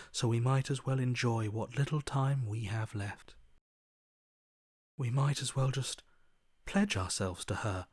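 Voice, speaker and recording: A man's voice: mid-range voice, husky, no echo, clean studio sound. An actor voicing a defeated, fatalistic man in a video game, subdued and mournful, with breath at the ends of phrases. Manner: resigned, mournful, bitterly pessimistic. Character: despairing, defeated man, broken and fatalistic inner voice. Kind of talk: dramatic video game voice acting.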